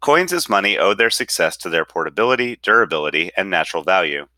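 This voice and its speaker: A man's voice: sits low, big pitch swings, fast talker, sharp tone, dry recording, studio quality. A man reading an article aloud with careful enunciation. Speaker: reader.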